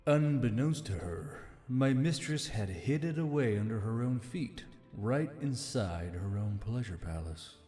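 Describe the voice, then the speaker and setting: A man's voice: mid-range voice, animated, unhurried, few pauses, warm tone, lightly acted. A man playing an ancient, aloof spirit bound in a torque, speaking in formal, archaic storytelling phrases for an audiobook. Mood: exasperated. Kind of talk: scripted audiobook character acting. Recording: long reverb, music behind.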